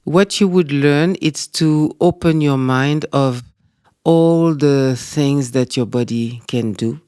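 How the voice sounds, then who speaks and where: A man with a French accent speaking as a guest in an interview, talking in a measured, reflective way. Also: on the high side, darkish tone, clear, resonant tone, no room sound, clean studio sound. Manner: measured, reflective, with frequent "you know". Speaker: guest, dance school director. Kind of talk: conversational interview.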